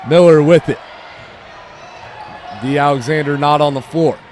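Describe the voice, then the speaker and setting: A young American man giving live basketball play-by-play in short, clipped calls that rise to excited shouts. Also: on the high side, measured pace. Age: young adult. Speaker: play-by-play commentator. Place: indoor high school gym during a game. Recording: noisy gym with loud crowd. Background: crowd noise, bouncing basketball.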